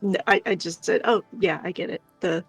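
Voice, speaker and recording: A woman's voice: lowish voice, a little fast, breathy edge, no room sound. Woman co-hosting a casual stream, dry sound. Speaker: livestream co-host. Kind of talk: casual chat, reading out viewer comments. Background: music.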